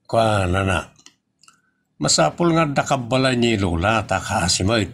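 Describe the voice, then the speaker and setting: A man's voice: lowish voice, lively intonation, measured pace, a bit bright, a little hiss or hum. An Ilocano-accented man playing a kindly, patient charity vlogger in a comedy audio drama, speaking in a hesitant, conversational way. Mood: helpful, amused.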